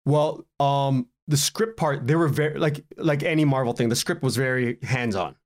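A man speaking as a guest in a casual podcast interview, telling anecdotes in an animated way and acting out bits of dialogue. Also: mid-range voice, moderate pace. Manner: animated storytelling, acts out quoted exchanges, chuckles often. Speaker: guest, audio drama writer and director.

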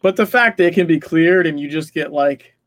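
Man chatting about games, higher-pitched, dry sound. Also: brisk, no room sound. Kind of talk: casual gaming discussion.

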